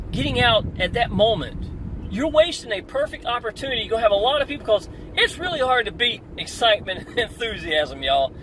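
A middle-aged man delivers an energetic motivational pep talk, his pitch swinging widely as he preaches. He records on a phone held out in an open-top car. The voice sits back from the mic, with steady wind and traffic noise underneath. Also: distant mic, poor audio. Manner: energetic, preachy, repeats "y'all". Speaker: online marketing coach. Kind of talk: motivational vlog while driving. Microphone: phone camera at arm's length.

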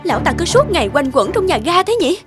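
A woman's voice: very high-pitched, very animated, quick pace, over loud music. A woman playing a struggling young mother and street peddler in a cartoon, exasperated and dramatic, weary yet defiant. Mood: indignant. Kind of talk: dubbed cartoon acting.

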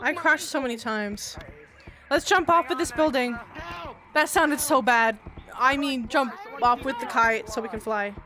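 A woman with an Australian accent that has picked up some American, hosting a game lobby on a livestream in excitable, casual chat. She counts players down, cheers and laughs as she plays. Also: high-pitched, a little slow. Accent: Australian, partly Americanized.

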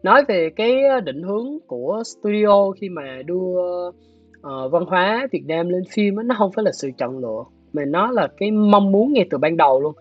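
Male interview guest, southern Vietnamese accent, husky and measured, muffled video-call sound with guitar music behind. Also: sits high, expressive. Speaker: guest, animation director. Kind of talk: explanatory interview talk. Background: soft plucked guitar music.

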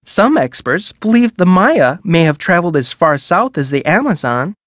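An actor playing a museum audio guide, reading scripted educational narration for a video game in a measured, formal way. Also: male voice, high-pitched, sing-song, fairly bright tone, no room sound, studio quality. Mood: formal, instructive.

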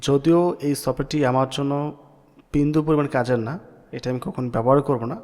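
A man hosting a software tutorial, explaining casually and mixing in English words. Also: medium pitch. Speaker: tech tutorial host. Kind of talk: screen-recorded software tutorial.

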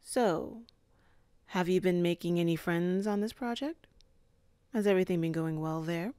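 A woman voicing a calm, composed female boss in an ASMR office roleplay, speaking in a measured, softly flirtatious way. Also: fairly low pitch, smooth, no room sound, close to an everyday voice.

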